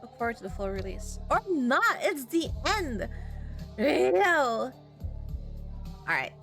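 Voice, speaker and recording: Woman, high-pitched voice, casual game commentary, informal and reactive, faint music in the background. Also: big pitch swings, slow pace, gravelly. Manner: informal, reactive, thinking out loud. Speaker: game streamer playing a horror visual novel. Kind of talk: casual let's-play commentary, reading game text aloud.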